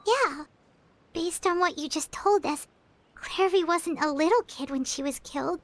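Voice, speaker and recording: A tiny, excitable fairy companion chirps in a very high, squeaky voice. She refers to herself in the third person and sounds chirpy and eager. It is video game voice acting with a bright cartoonish edge. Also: unhurried, no room sound. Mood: curious.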